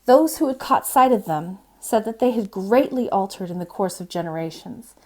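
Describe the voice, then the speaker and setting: A woman reading a storybook aloud as a librarian host, in an expressive, measured storytelling manner. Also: mid-range voice. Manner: expressive, measured storytelling with brief informal asides.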